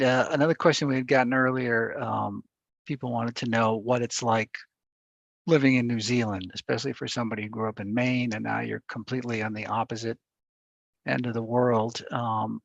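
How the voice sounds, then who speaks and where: Gravelly male interviewer, dull dry sound. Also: medium pitch, dry recording. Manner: hesitant questioning with repeated words. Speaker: interviewer, newspaper reporter. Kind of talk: interview questions on a video call. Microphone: video call.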